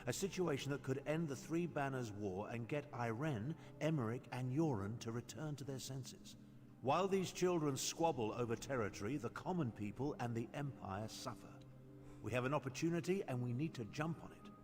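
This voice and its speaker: A man's voice: mid-range voice, lively intonation, moderate pace, darkish tone, close to an everyday voice. Male actor as imperial schemer, commanding, formal, persuasive, fantasy game, long reverb, faint music. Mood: urgent, persuasive. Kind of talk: fantasy video game voice acting.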